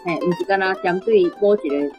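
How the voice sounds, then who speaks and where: A woman's voice: medium pitch, fairly quick. A woman with a Taiwanese accent, a language teacher explaining and commenting informally. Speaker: language teacher giving feedback. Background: guitar music.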